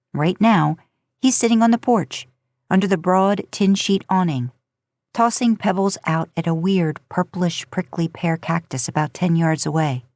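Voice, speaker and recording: Low-voiced woman reading a story, dry sound. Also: a little mellow, no echo. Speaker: podcast host narrating fan fiction. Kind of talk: story narration, reading aloud.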